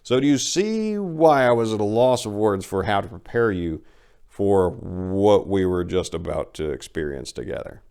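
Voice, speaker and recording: A man's voice: lowish voice, expressive, moderate pace, creaky, mellow, smooth. A man reading stories aloud and hosting, with a theatrical, emphatic way of talking. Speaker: storyteller and show host. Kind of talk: dramatic story reading with casual commentary.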